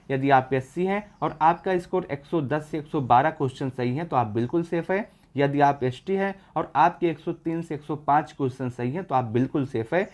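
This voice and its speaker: A man's voice: a little high, darkish tone. A man presenting an informational update for exam candidates, explaining things in a repetitive, instructional way. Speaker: exam news channel presenter.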